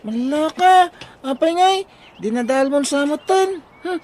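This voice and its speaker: A woman's voice: high voice, animated, a little slow, a little mellow. A woman playing a bossy, mischievous older brother in an audio drama, taunting and accusing with a Filipino accent.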